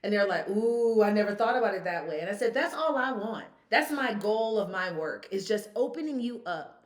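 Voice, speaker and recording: A woman's voice: mid-range voice, animated, brisk. A woman in her fifties speaking as a podcast guest, emphatic and storytelling, full of rhetorical questions. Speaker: guest, consultant. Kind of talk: podcast conversation.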